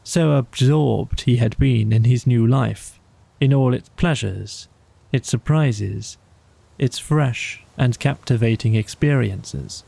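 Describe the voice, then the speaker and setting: Slow, mellow, gravelly male storyteller. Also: mid-range voice, dry recording. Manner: measured, soothing, deliberate phrasing. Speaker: audiobook narrator. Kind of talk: slow bedtime storybook narration.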